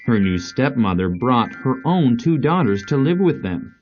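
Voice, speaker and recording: Slow-paced man narrating a fairy tale. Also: mid-range voice, highs cut off, soft background music. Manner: slow, deliberate, expressive. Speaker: storyteller.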